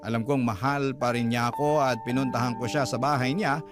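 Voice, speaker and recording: A man's voice: mid-range voice, steady tone, a little fast, natural voice. A man narrating as a remorseful young father, confessing his story earnestly in a radio drama. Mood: sorrowful. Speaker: letter sender narrating. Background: music.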